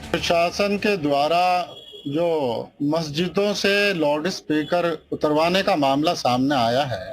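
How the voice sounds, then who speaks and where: A man speaks in an interview at a very slow pace. His voice is high for a man, his phrasing formal and halting. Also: soft background music. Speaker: local interviewee. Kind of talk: interview statement.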